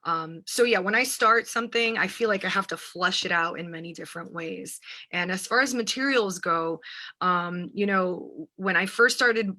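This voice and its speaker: A woman's voice: medium pitch, moderate pace, bright, forceful tone. An American woman, an artist, giving reflective interview answers in searching phrases and often checking in with the listener. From United States, New Jersey. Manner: hesitant, searching phrasing, often seeking agreement with "right". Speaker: visual artist and writer, interview guest.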